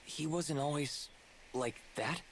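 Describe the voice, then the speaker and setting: Earnest young game hero. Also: male voice, a little high, slow, noisy background. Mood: curious, friendly. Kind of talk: video game voice acting.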